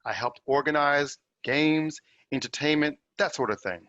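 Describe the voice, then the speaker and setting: A man's voice: fairly high pitch, moderate pace, bright, forceful tone, no echo. An actor playing a humble, guarded young African man in ancient Rome, hesitant and earnest, in a drama read-through. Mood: hesitant, modest. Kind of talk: dramatic table-read acting. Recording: muffled, slightly lo-fi, dry.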